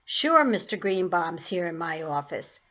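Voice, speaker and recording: A woman's voice: on the low side, big pitch swings, unhurried, fairly bright tone, highs cut off. A woman reading the part of a friendly, chatty male theater manager.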